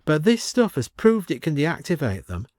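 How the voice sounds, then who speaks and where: A man's voice: a little high, wildly swooping pitch, normal speed, a little mellow, no echo, studio quality, voice pushed up. A man voicing a bossy, take-charge woman leading her friends in an audiobook story. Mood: sheepish improvising. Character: bossy, impatient woman leading a ragtag band.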